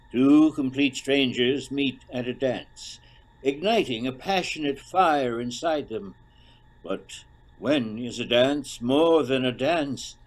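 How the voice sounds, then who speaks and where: An actor playing a theatrical announcer of an old-time eerie radio show, with a dramatic, suggestive delivery. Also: male voice, mid-range voice, low-quality recording.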